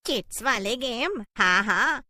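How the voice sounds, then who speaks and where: A woman voicing a mischievous, gleeful cartoon witch with a taunting, drawn-out delivery. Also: fairly high pitch, expressive, sharp tone, no echo, clean studio sound, full character voice. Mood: curious.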